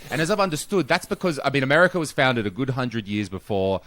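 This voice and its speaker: An Australian man hosting a casual podcast chat over a video call, animated and swearing freely. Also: mid-range voice, fast, a little hiss or hum. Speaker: podcast host.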